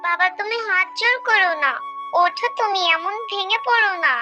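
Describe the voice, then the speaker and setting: An earnest young cartoon village girl, played by a woman, with a very high, bright voice. She speaks in a pleading, reassuring way like a devoted daughter, with faint music behind her. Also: moderate pace, muffled recording, heavily acted. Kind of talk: Bengali cartoon voice acting.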